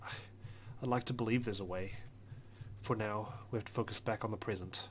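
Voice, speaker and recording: A man reading a video game's story aloud as a let's player, in an expressive, dramatic narrating style. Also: on the low side, brisk, a little hiss or hum. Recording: music behind the voice.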